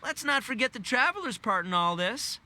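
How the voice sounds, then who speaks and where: An actor playing a brash, boisterous rock-loving oni gang boss in a video game, with gravelly, slangy swagger. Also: male voice, very high-pitched, sing-song, normal speed, pushed and bright, slight background noise, heavily acted. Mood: hyped, friendly. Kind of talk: animated video game voice acting.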